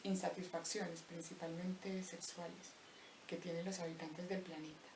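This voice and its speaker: A young woman from Colombia with a Paisa accent, slowly reciting a reflective spoken-word poem with deliberate pauses. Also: medium pitch, background noise. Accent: Paisa Colombian Spanish. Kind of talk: spoken-word poetry monologue. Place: empty abandoned house. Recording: roomy echo, voice distant from mic.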